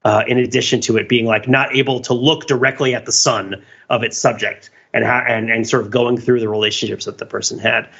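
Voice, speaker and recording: A man's voice: medium pitch, flat delivery, brisk, creaky, fairly bright tone, husky, dull audio. A man speaking as a podcast panelist, musing tentatively and conversationally as he thinks his points through. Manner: tentative, musing, chuckles through some phrases. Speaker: podcast panelist discussing film. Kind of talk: casual chat, musing commentary.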